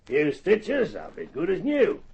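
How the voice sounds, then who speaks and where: Radio drama casting: sly rural bully feigning innocence, highish gravelly voice, folksy. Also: male voice, wildly swooping pitch, unhurried, darkish tone, heavily acted. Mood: breezy, sly. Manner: folksy, colloquial, mock-polite. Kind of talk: old-time radio drama acting. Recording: dull lo-fi old radio recording.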